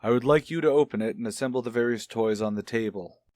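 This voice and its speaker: A man playing an inquisitive child psychologist, formal and deliberate, in a radio soap opera. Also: mid-range voice, darkish tone, no echo, natural voice. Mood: measured, curious.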